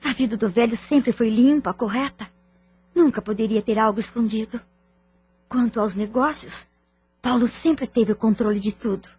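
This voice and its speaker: Actress as firm, composed middle-aged mother for audio novela; highish husky voice, deliberate theatrical lines, muffled recording. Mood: disappointed, musing. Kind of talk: Portuguese-language audio drama acting. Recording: muffled, lo-fi audio drama track.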